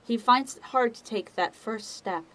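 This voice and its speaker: A woman giving scripted dramatic narration as the narrator of a story, in a measured, deliberate manner. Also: on the high side, a bit bright.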